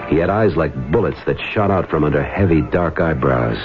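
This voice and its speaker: An actor playing a keen, hard-boiled salvage diver in a radio drama, speaking in a measured, terse way. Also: male voice, very deep voice, expressive, over music. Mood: hard-boiled narrating. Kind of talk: old-time radio drama narration and dialogue. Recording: muffled old radio broadcast recording.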